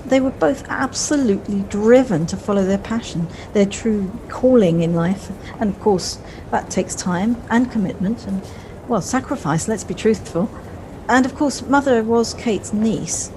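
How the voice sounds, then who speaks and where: A woman's voice: medium pitch, measured pace, lightly acted. A woman acting a flustered, wistful middle-aged woman in an audio play, with hesitant, reflective speech that repeats words. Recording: noisy home recording on personal device.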